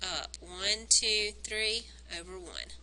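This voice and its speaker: A woman's voice: mid-range voice, takes it slowly, bright, forceful tone, low-quality recording. A woman math teacher working through graphing problems step by step, counting points aloud, her voice strained from nearly losing it, recorded on a phone.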